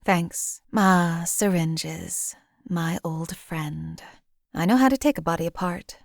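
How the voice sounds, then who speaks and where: Woman playing a cool, low-voiced female assassin. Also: takes it slowly, vocal fry, no echo. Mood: dry, understated. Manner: composed, dry, matter-of-fact. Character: cool, lethal female assassin companion for hire. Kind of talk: video game companion voice lines.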